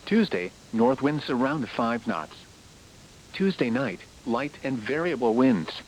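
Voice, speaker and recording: A man's voice: medium pitch, sing-song, takes it slowly, low-quality recording. A man reading a marine weather forecast, heard through a radio speaker. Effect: played through a radio speaker. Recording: hissy radio weather broadcast.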